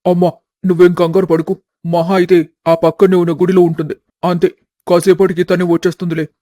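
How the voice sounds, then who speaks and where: A man's voice: sits high, flat delivery, a little fast, mellow, no echo, clean studio sound, close to an everyday voice. A man voicing an exasperated grown son who chides and reassures his family in a village story. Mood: reassuring. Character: exasperated adult sons keeping family peace.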